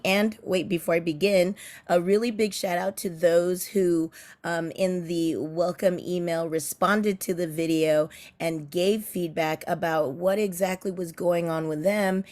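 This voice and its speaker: A middle-aged woman, a teacher and parent, sharing tips in a chatty talk to camera, her voice a bit raspy, with many fillers and restarts. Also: fairly low pitch, darkish tone. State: slightly raspy voice from cheering at kids' sports.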